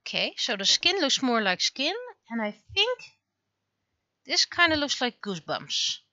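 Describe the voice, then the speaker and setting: A woman's voice: high-pitched, big pitch swings, a little slow, bright, forceful tone, muffled recording. A woman casually thinking aloud in short fragments as she works on art during a livestream. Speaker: livestreamer, digital artist. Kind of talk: casual livestream commentary while drawing.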